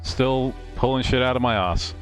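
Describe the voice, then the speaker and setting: A man's voice: mid-range voice, normal speed, soft background music. A man casually joking through a live group dub of a game, swearing and giggling as he goes. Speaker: streamer live-dubbing a video game.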